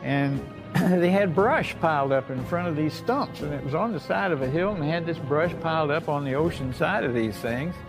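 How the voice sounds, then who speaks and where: An older American man, a war veteran, telling his memories informally in a folksy, halting way with repeated phrases. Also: fairly high pitch, music in the background, low-quality recording. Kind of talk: informal oral-history storytelling.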